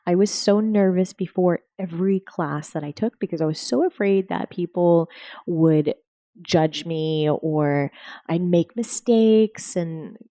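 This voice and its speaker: A woman in her forties hosting a movement-teaching podcast, talking in a lively, upbeat way. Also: fairly low pitch, unhurried. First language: English. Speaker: podcast host. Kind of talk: casual podcast conversation.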